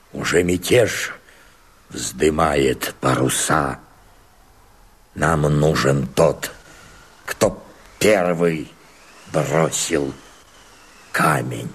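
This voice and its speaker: Radio play: old peasant Cossack, bottom-register husky voice, very slow declamation, bitter and defiant. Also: male voice, deep voice, full character voice. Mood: rousing, defiant. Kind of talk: dramatic verse recitation in a radio play.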